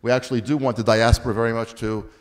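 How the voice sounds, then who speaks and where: A man's voice: medium pitch, fairly even intonation, very fast. A man from the United States answering questions on a discussion panel, speaking earnestly with dry, self-deprecating asides. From Baltimore, USA.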